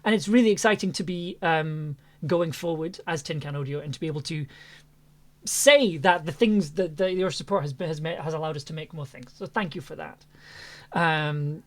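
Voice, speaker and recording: A man hosting a stream, casually addressing viewers in a halting way, repeating words as he finds his phrasing. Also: fairly high pitch. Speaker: host and audio producer.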